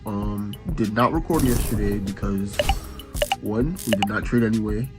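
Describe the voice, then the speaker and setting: A young man vlogging about his day trading in a relaxed, slangy, rambling way, full of fillers. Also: fairly low pitch, normal speed, loud music behind the voice. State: congested with a sore throat. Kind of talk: casual vlog chat.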